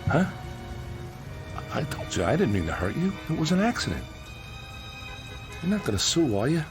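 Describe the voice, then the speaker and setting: An actor playing a gruff but kind-hearted demolition contractor in a radio drama, with an American accent and colloquial, earnest, insistent working-man speech. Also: fairly high pitch, very animated, moderate pace, music in the background. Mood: apologetic, concerned.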